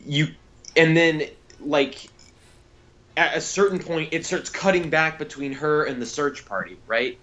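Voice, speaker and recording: A man's voice: a little high, measured pace, a bit bright. A man co-hosting a podcast, giving casual critique in a rambling, emphatic way on slightly lo-fi audio. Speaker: podcast co-host and reviewer.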